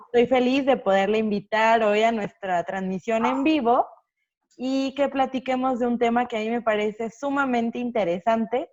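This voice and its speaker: A woman's voice: a little high, darkish tone, highs cut off, slight background noise. A woman hosts a live-stream interview, introducing her guest in a friendly, upbeat way. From Mexico. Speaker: host.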